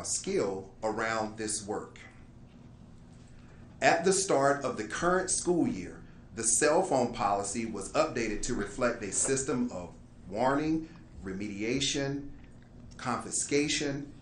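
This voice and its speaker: A man presents a prepared report in a formal, careful reading manner. His voice has a medium pitch and rings in a roomy meeting space, with faint noise underneath. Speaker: school district administrator presenting a report. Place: indoor meeting room, public board meeting. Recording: roomy echo, light background noise.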